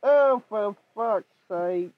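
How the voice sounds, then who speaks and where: Lively high-voiced man bantering. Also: extremely slow, phone-quality sound, background noise. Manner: laughs often, teasing, swears freely. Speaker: podcast host. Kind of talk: casual podcast banter.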